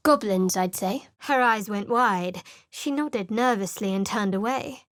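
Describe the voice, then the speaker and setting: A woman's voice: fairly high pitch, no room sound. An actress voicing a guarded, sardonic young female cleric in video game voice lines, with a cool, dry manner.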